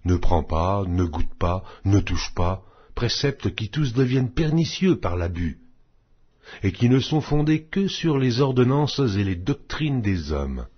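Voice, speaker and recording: Male narrator, slow delivery, solemn scripture reading, muffled lo-fi recording. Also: fairly low pitch, sing-song, slightly rough. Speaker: audiobook narrator. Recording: dull, muffled lo-fi audio.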